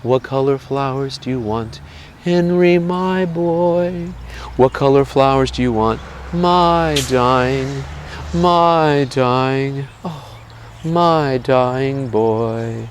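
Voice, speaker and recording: A man voicing a worried mother who calls and questions her wayward boy in a drawn-out sing-song way. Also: mid-range voice, fairly bright tone, a little hiss or hum, close to an everyday voice. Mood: grave, doting. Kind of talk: sung folk-song dialogue and high calling.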